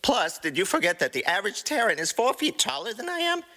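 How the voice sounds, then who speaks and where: A man's voice: high-pitched, sing-song, fast talker, bright, forceful tone, pitched above the performer's own voice, full character voice. A man voicing a cocky, defensive, snickering raccoon troublemaker in a comic fan fiction audio drama. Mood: cocky storytelling. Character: brash, snickering small male raccoon troublemaker.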